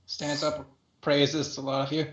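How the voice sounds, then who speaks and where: A man narrating a tabletop game as game master, halting with many pauses and fillers. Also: fairly high pitch, monotone, fairly bright tone, slight background noise. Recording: roomy, dull lo-fi audio.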